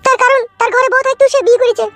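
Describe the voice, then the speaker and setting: A woman doing a squeaky, fast-talking village wife. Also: flat delivery, a bit bright. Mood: complaining. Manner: pleading, complaining. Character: village wife, young, aggrieved, nagging. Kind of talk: cartoon dub acting.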